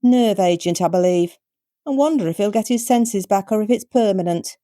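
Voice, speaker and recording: A woman voicing a meddling elderly crone in a story, brisk, fussy and coolly indifferent. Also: medium pitch, mellow, dry recording, clean studio sound, pitched above the performer's own voice, lightly acted. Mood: smug, cool.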